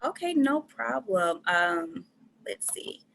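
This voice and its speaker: A woman's voice: on the low side, sing-song, slow pace, vocal fry, a bit bright. A young woman, a guest workshop presenter, wrapping up in an easygoing, chatty way. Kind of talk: casual closing remarks.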